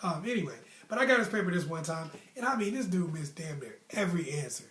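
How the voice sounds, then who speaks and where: A young American man telling personal stories casually and giving motivational advice, with an animated, emphatic, slangy delivery. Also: fairly high pitch, quick pace. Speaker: storytelling host. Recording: roomy, echoey sound.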